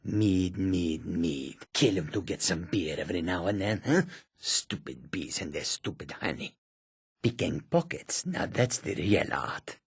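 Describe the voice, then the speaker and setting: An actor playing a rough, greedy cat-folk bandit in a fantasy video game, with coarse, clipped, grumbling speech. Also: male voice, sits low, very animated, unhurried, no echo, clean studio sound, exaggerated voice.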